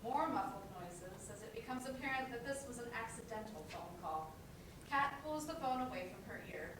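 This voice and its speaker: A woman's voice: on the high side, expressive, normal speed. A woman reading scripted stage directions as the narrator of a play. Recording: very echoey, distant mic, noisy background.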